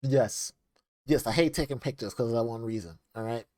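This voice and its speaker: Young dude reacting to a vid, rambly, lots of likes and ums, dry close mic. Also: male voice, mid-range voice, a little fast, dark tone, dry recording. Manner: rambling, filler-heavy. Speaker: video reactor. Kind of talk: casual reaction chat. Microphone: desk microphone.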